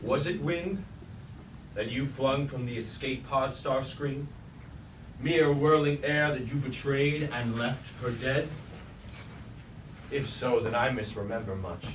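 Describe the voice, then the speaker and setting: A man's voice: medium pitch, steady tone, slow, long pauses, lightly acted. An actor playing a proud, wrathful deposed robot warlord king, with grand, commanding, archaic delivery in a staged reading of a tragedy. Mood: cold scorn. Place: indoor staged reading at a university. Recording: roomy, distant stage recording with light noise.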